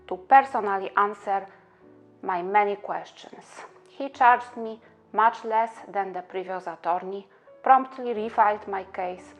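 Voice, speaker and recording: A woman from Poland with a Polish accent, an immigration attorney speaking in an earnest, measured, scripted way about her own experience. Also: medium pitch, dull audio. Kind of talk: scripted promotional monologue.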